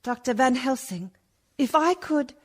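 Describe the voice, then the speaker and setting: Lady acting haunted young woman in radio play, slowish n dreamy, kinda high voice. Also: lively intonation. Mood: troubled, wondering. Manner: slow, dreamy, dramatic. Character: entranced, haunted young Victorian woman under vampire's spell.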